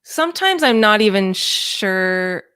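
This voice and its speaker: A woman's voice: medium pitch, very animated, slow pace, pushed and bright, clean tone. A woman from Hong Kong chatting casually as an interview guest, hesitant and self-effacing, thinking aloud. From Hong Kong, born in the US. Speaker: guest puppeteer and storyteller.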